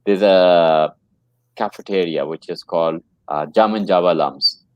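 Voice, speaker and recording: A man's voice: low-pitched, measured pace, smooth, no echo, highs cut off. A man working as a trainer, telling a story in a casual conversation. He speaks earnestly and often repeats his phrases. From Pakistan. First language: English.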